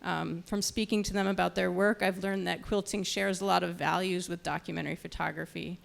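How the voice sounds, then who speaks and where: Female event host, lowish pitch with heavy vocal fry, semi-scripted introductions, occasionally tripping over words. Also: fairly even intonation. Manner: halting, stumbles over words. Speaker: nonprofit programs director introducing panel speakers.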